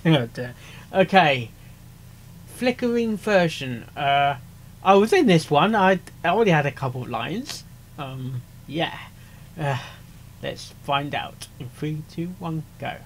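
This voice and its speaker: Higher-voiced man reacting, lively and stammering. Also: unhurried, a little hiss or hum. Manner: stammering, repeats words and interjects short asides. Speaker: reaction video host. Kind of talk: casual reaction commentary.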